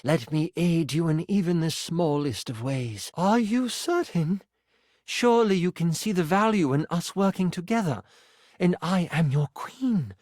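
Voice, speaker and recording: Man voicing a stately, measured warrior queen. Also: on the high side, darkish tone, no room sound, studio quality. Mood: secretive. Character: regal warrior queen, solemn, secretive, protective mother. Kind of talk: dramatic fantasy roleplay in a game.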